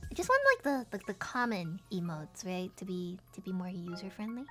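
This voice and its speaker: A woman's voice: medium pitch, lively intonation, normal speed, fairly bright tone, soft background music. A woman streamer chatting casually with viewers, rambling with plenty of fillers and little giggles, her voice sounding tired and strained.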